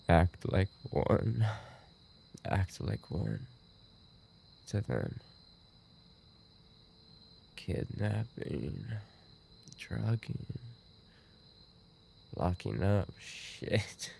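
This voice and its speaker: Guy doing a dying yandere roommate for asmr roleplay, lowish gravelly voice, really slow n halting, full of regret, crickets behind. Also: dark tone, a little hiss or hum, exaggerated voice. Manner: halting, broken phrases with repeated words. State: weakened, dying from an injury. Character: dying young male yandere roommate, obsessive and remorseful. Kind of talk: boyfriend ASMR audio roleplay acting.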